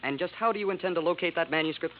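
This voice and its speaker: An actor playing a stuffy, apologetic young husband in a 1950s radio drama, formal and fussy under strain. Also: male voice, on the high side, very fast, natural voice. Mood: concerned. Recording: noisy muffled vintage radio recording.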